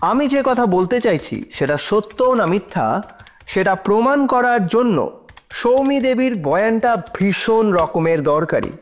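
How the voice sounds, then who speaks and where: A man's voice: sits high, lively intonation, normal speed. A man playing a persistent, persuasive defence lawyer who questions formally and emphatically in a courtroom audio drama. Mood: insistent.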